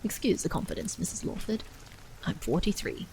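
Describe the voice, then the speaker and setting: Woman putting on a pompous, creaky middle-aged man. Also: medium pitch, brisk, a little hiss or hum, low-quality recording. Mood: recovered, smug. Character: plump, pompous, defensive forty-three-year-old man. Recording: steady rain sounds behind the voice.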